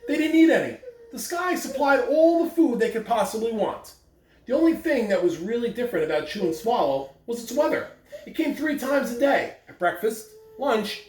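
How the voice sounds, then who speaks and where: A man speaking simply and directly to children, as in school morning announcements and story read-alouds. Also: high voice. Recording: echoey room, distant mic, faint noise.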